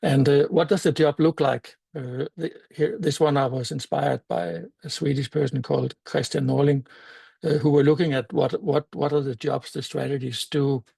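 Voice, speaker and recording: An older man with a Danish accent, a native Danish speaker, giving a conference talk in a thoughtful, halting way with many fillers and restarts. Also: mid-range voice, fairly even intonation, gravelly, warm tone, husky, no room sound. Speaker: meetup speaker.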